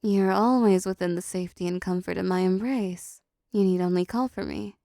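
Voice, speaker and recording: A woman voicing a possessive, seductive female demon in an intimate audio roleplay, softly teasing, dominant and doting. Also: on the low side, normal speed, gravelly, no echo, clean studio sound. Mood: flirty, coaxing.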